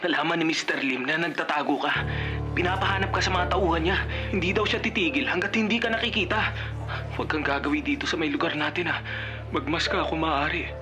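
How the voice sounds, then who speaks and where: A man's voice: fairly high pitch, a little fast, music in the background, lightly acted. An actor playing an exasperated, nagging but loyal male friend with a Filipino accent in a radio drama. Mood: urgent warning.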